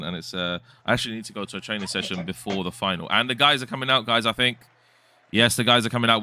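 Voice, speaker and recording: Male stream host, very deep bright voice, casual chatty delivery. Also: smooth, no echo. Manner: chatty, reads viewer comments aloud. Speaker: host and co-commentator. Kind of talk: casual live stream commentary.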